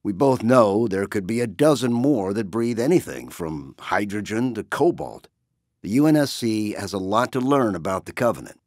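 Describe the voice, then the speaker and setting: A man's voice: lowish voice, expressive, moderate pace, a little mellow, no echo, pitched above the performer's own voice. Stern woman captain, read by a man, blunt, cautious, commanding, dry close studio sound, audiobook drama. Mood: firm, skeptical. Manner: firm, blunt, formal military.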